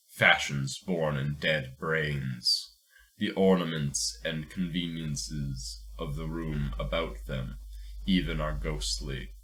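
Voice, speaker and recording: A man's voice: a bass voice, a bit bright. A man hosting a fiction podcast, reading a story aloud and commenting on it. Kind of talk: dramatic story narration and casual commentary.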